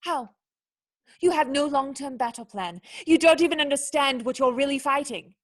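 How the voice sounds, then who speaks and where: A woman plays a young noblewoman in a radio drama, high-pitched and proud. She scolds in articulate, cutting phrases, indignant and wounded underneath. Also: moderate pace, natural voice. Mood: scornful. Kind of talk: radio play acting.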